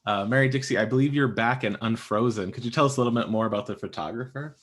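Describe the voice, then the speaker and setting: A man's voice: mid-range voice, fast. A man hosting a book panel on a video call, asking questions conversationally and rephrasing as he goes. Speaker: panel host.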